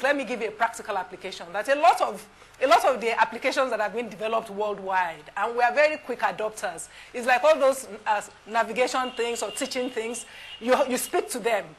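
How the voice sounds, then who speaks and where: A woman hosting a live TV studio interview, effusive and full of quick restarts. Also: a little high, rough recording. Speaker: TV talk show host.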